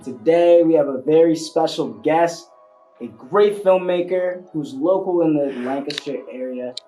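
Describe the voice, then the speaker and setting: A man's voice: fairly high pitch, measured pace, mellow, reverberant, faint music behind, low-quality recording. A man hosting a talk show, asking short questions and giving brief affirmations. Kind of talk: interview hosting.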